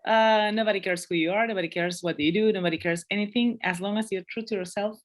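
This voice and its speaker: A woman's voice: mid-range voice, steady tone, quick pace. A talkative woman in her thirties from Peru, with a thick Peruvian Spanish accent and a mid-range voice. She speaks in a casual, bubbly way, laughs easily and starts her sentences again as she searches for words in her second language. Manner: chatty, laughs often, restarts sentences. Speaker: podcast guest. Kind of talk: casual interview chat.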